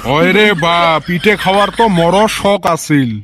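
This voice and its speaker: A man's voice: high-pitched, moderate pace, lightly acted. A man voicing a thrifty, grumbling village father in a comic cartoon, dryly sarcastic and weary of demands. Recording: clearly noisy track with clicks.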